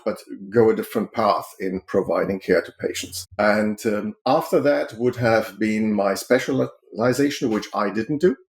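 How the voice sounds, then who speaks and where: Measured German-raised man, low voice. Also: a little mellow. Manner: measured, explanatory, with frequent fillers. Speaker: healthcare consultant. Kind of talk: interview answers.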